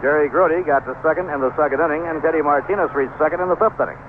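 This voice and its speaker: A man's voice: a little high, racing. An American man calling baseball play-by-play as a live radio commentator. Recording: narrow, noisy 1970s AM radio broadcast.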